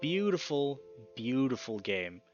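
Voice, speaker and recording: A man giving casual, emphatic game commentary as a let's play host, pausing dramatically and swearing mildly. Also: medium pitch, sing-song, highs cut off. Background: guitar music.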